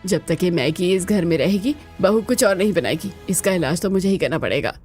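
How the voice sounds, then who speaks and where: A woman voicing the women of a cartoon household with an expressive, theatrical delivery. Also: fairly low pitch, brisk, faint music behind, lightly acted. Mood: exasperated. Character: women of a cartoon household, scheming young daughter-in-law and exasperated elders. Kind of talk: dramatised cartoon dialogue.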